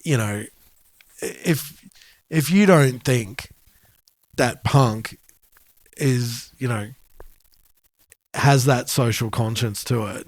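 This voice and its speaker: A man's voice: medium pitch, extremely slow, long pauses, no echo. A man with an Australian accent chatting casually and colloquially as a music podcast co-host. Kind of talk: casual chat.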